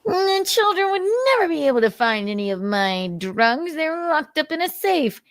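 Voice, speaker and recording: A woman's voice: high-pitched, big pitch swings, normal speed, no room sound, clean studio sound, pitched above the performer's own voice. A woman mockingly voicing a whiny, scornful man who pushes drugs on his friends, in an exaggerated delivery. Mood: smug.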